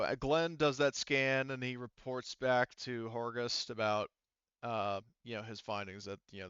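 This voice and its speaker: A man speaking casually and haltingly as the game master of a tabletop roleplaying game. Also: lowish voice, a bit bright, smooth, dry recording, highs cut off. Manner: halting, informal.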